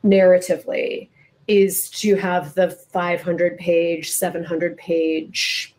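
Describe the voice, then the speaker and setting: A woman's voice: fairly low pitch, level tone, slow pace, darkish tone. A woman moderating a literary interview, conversational and a little wry, building long questions piece by piece.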